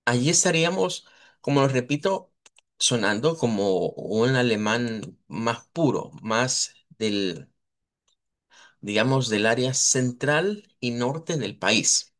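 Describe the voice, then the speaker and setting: A man teaching a live online language class. He explains at length, models sounds slowly and often checks in with his students. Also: mid-range voice, animated. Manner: checks in with students, asks "verdad".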